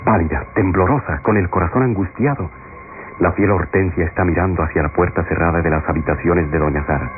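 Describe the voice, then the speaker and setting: Radio soap narrator: man, very low voice, suspenseful and dramatic, over music on an old recording. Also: a little fast, phone-quality sound. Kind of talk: classic radionovela scripted narration.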